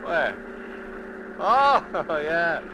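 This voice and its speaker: A slightly high-voiced, easygoing American fishing buddy, kindly and a bit rambling. He speaks in vintage radio drama style on a noisy old recording. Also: big pitch swings, drawn-out words, exaggerated voice. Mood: relaxed. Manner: hesitant, rambling. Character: easygoing local fishing companion, chatty and kindly. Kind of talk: mid-century American radio drama acting.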